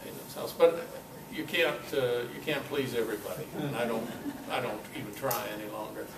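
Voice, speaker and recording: An older man giving an informal talk at a club meeting, telling personal anecdotes in a halting, conversational way. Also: medium pitch, creaky. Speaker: guest speaker at a club meeting. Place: meeting room, in front of an audience. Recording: echoey, noisy room recording from far off.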